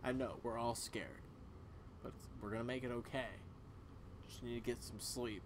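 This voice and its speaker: A man voicing a protective, gently exasperated animal friend in casual video game dialogue. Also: medium pitch, fairly even intonation, normal speed, natural voice. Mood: reassuring, hesitant. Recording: clearly audible background noise.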